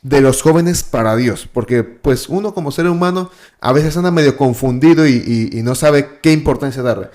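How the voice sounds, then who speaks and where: A man's voice: mid-range voice, normal speed, few pauses, a little mellow. A man with a Mexican Spanish accent hosting a church podcast, asking long, friendly questions that are full of "este" fillers. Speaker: podcast host. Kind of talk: casual interview hosting.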